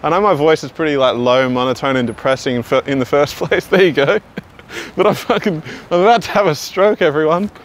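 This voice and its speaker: Man, young adult, Australian accent, prank host, laughs a lot, casual swearing, high-ish voice, street noise. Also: fairly quick. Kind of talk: casual prank vlog chat. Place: outdoors at a petrol station.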